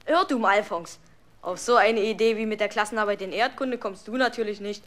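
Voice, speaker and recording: A woman plays a sly schoolboy prankster in an old German children's radio drama. The voice sits at a middling pitch and sounds smug. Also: fairly quick, fairly bright tone, close to an everyday voice. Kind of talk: 1970s German children's radio play acting.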